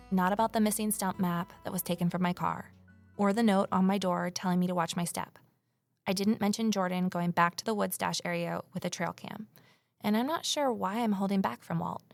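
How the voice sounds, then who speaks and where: An actress playing an anxious, determined amateur sleuth in an audio drama, earnest and introspective. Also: female voice, mid-range voice, no echo, natural voice. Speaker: lead character and narrator. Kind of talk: naturalistic audio drama dialogue and narration.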